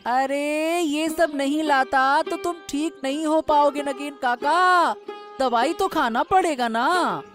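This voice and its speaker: A woman's voice: high voice, slow pace, a bit bright, faint music behind. A woman voicing a polite, caring young village boy in a cartoon story. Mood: caring, hurried. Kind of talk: Hindi cartoon story dialogue.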